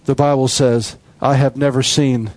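A man's voice: mid-range voice, fairly even intonation, moderate pace, darkish tone, dry recording. A man preaching a sermon with an emphatic, repetitive cadence.

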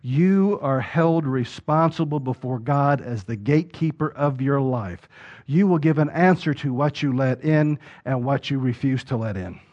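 A man preaching a sermon, building from steady talk to loud, emphatic peaks. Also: medium pitch, measured pace. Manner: rises to loud emphatic preaching peaks, acts out quoted voices. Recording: dull lo-fi sound.

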